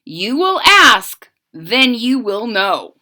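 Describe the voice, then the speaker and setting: A pessimistic soldier, sure that the village will chase them off, answers in a dry, wry tone, as a woman reading aloud puts him on. The voice is bright and slow, with a lively lilt. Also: on the high side, heavily acted. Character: gloomy, doubtful soldier expecting the worst.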